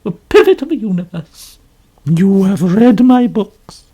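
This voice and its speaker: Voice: medium pitch, sing-song, unhurried, dark tone, husky, voice pushed up. A narrator voicing a pompous, melodramatic older woman novelist who talks in a complaining way. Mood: gushing.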